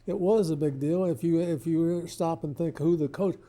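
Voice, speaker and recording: An older man, reminiscing casually as an interview guest in a halting, modest, rambling way. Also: fairly high pitch, steady tone, warm tone. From Lebanon, Ohio, United States.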